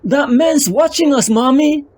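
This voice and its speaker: A man voicing an excitable little girl in a comic-book drama. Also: extremely high pitch, a little slow, voice pushed up. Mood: excited. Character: excitable little girl calling to her mother.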